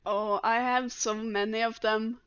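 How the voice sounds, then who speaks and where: Woman guest, easy unhurried chat, smooth tone, slightly lo-fi dry recording. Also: on the high side, flat delivery, a bit bright, no room sound. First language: Norwegian. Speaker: guest artist. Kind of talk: casual chat.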